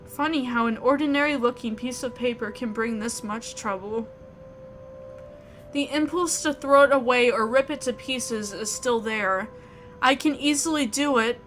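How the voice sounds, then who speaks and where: Bright, high-voiced woman reading dramatically. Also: measured pace. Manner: theatrical, emotive. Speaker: video game player reading a visual novel aloud. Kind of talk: expressive dramatic read-aloud narration. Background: background music.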